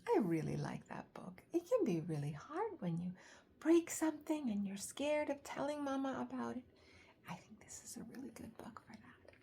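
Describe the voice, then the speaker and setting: A woman reading a bedtime story aloud to young children, soft and often breathy, adding chatty asides in an expressive way. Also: sits high, normal speed. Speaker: children's story reader, host.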